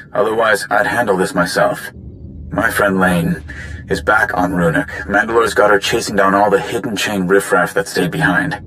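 A man's voice: deep voice, fairly bright tone, slight background noise. A man playing an affable, cocky warrior in a video game, talking in a wry, casual way. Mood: casual, earnest. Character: cocky, affable male warrior asking a favor. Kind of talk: video game cutscene acting.

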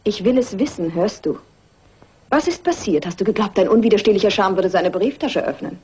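An actress playing a cool, willful wife in a crime radio play, teasing and self-assured. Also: female voice, medium pitch, brisk, mellow, husky. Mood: accusing.

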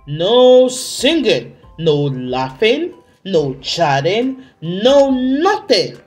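A woman's voice: mid-range voice, very animated, a little mellow, faint music behind. A woman voicing a tyrannical male head elf who barks stern, emphatic orders in a children's storybook. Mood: scolding, commanding. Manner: stern, emphatic, drawn-out commands.

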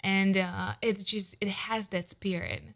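A woman in her thirties, a vocal coach, reacting to a song in an excited, gushing way with lots of "uh" fillers, speaking English as a non-native speaker, close to a studio mic at home. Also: mid-range voice, measured pace. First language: not English. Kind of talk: casual reaction commentary. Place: home room with shelves, indoors. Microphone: large studio condenser mic close up.

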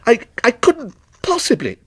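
A man's voice: a little high, sing-song, normal speed. A man voicing a hesitant, doubtful male customer in a storybook, polite and a little absent-minded. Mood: flustered.

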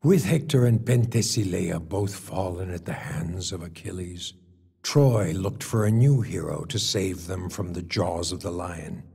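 Deep, gravelly epic narrator. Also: male voice, exaggerated voice. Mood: solemn. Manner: solemn, deliberate. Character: grave male narrator of an ancient war epic. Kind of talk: dramatic scripted narration. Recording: loud music behind. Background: music.

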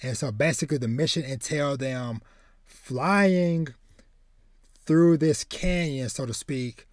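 A man in his thirties with a medium-pitched voice chats casually as a podcast host. He rambles in an animated way, often doubling back on words. The sound is close and dry. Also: slow, dry recording. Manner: animated, rambling, repeats words while searching for phrasing. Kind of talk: casual chat, retelling a film plot. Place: home room with bookshelves, indoors.

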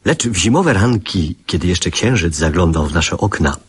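An actor playing a wistful grown man who narrates memories of his boyhood in a measured, reflective radio drama style. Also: deep voice, animated, husky, heavily acted.

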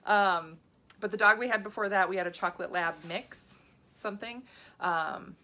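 A woman in her thirties talks to her viewers like a health coach on a live stream. She rambles in a chatty way, with restarts and side stories. Her voice sits at an ordinary middle pitch. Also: normal speed, bright, forceful tone.

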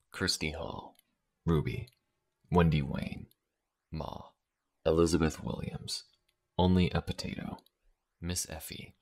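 Very deep, creaky-voiced male creator. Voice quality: deep, gravelly. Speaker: audio roleplay creator and voice actor. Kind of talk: scripted credits read to listeners.

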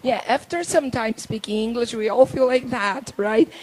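A middle-aged Brazilian woman, hosting a talk show in English with a handheld mic, halting and reading from notes. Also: on the high side, rough recording. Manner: halting, reads from notes, laughs often. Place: indoor talk show stage.

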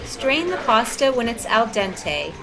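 Female cooking host, higher register, clear recipe narration, music bed underneath. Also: sing-song, measured pace. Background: background music.

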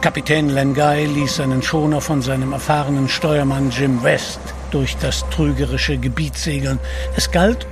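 A man narrating an adventure audio drama in a scripted, dramatic storytelling style. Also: a little high. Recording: music behind.

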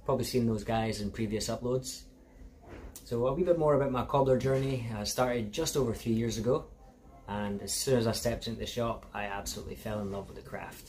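A man's voice: mid-range voice, slight background noise. A Scottish man in his thirties, a cobbler chatting casually to viewers from his small shoe repair shop. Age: 30s. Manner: relaxed, chatty, addresses viewers as guys. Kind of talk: casual workshop vlog.

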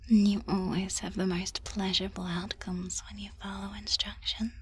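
A woman whispering a guided ASMR hypnosis script in a breathy voice, soothing yet commanding and suggestive, with drawn-out pauses. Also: mid-range voice, level tone, slight background noise.

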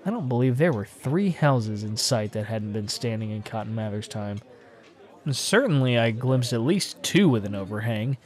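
A man's voice: medium pitch, darkish tone. A man narrating a classic horror story in a dramatic, literary audiobook style.

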